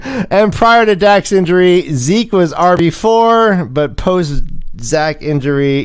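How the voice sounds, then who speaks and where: A man's voice: a little high, smooth. Casual male fantasy football show host, theatrical emphasis. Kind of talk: casual sports talk show hosting.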